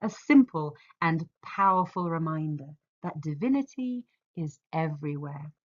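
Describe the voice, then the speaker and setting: An English woman reading a scripted personal reflection in a measured, earnest, expressive way. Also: sits low. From England. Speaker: author reading her foreword. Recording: slightly dull, muffled audio.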